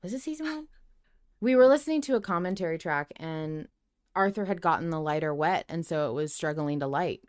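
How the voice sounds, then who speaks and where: Smooth, clear low-voiced woman podcaster. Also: lively intonation, fairly quick. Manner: emphatic, casual swearing. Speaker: podcast co-host. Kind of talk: casual fan discussion of an audio drama.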